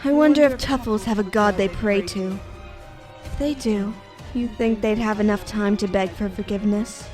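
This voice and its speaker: A woman's voice: medium pitch, normal speed, darkish tone, faint music behind, natural voice. A woman playing a solemn, defiant young female warrior in an anime fan drama, speaking in a stern, formal, commanding way. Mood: scornful.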